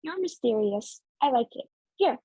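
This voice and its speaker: An actress playing a gushing, shameless, sociable young socialite in a radio play. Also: female voice, fairly high pitch, lively intonation, moderate pace, noisy background, close to an everyday voice. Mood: gushing, excited.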